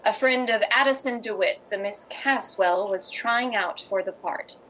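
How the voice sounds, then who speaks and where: A worldly, reassuring wife in a 1950s radio play, acted by a woman. Her mid-pitched voice is poised and measured, with a wry storyteller's touch. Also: fairly bright tone, slight background noise, natural voice, rough recording. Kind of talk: 1950s radio drama acting and narration.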